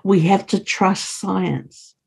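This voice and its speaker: A woman's voice: mid-range voice, steady tone, takes it slowly, gravelly, darkish tone, husky. A middle-aged woman speaking earnestly as a guest on a video call, urging listeners on. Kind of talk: earnest interview talk.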